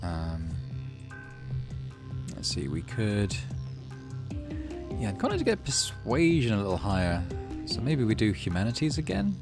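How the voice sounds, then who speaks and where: Man, low voice, lively intonation, game host, reads narration, thinks aloud, faint music behind. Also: measured pace, pauses often. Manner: thinks aloud, murmurs to himself. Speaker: gaming channel host. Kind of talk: reading game narration aloud, casual musing while playing.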